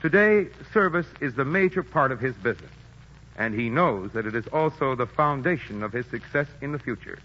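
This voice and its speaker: A man reading a scripted sponsor's commercial on a 1940s radio broadcast, earnest and measured. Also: medium pitch, expressive, highs cut off, a little hiss or hum. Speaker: sponsor's spokesman.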